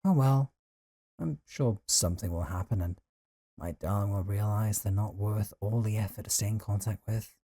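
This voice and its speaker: A male actor plays a possessive young man in an audio drama, with a deep, mellow voice. He talks casually and intimately, as if to a close friend, with a jealous, lovesick streak under the teasing. The sound is close and dry. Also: moderate pace, breathy edge, no room sound. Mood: quietly scheming. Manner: casual, teasing, sighing, conversational. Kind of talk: intimate audio roleplay drama, one side of a call.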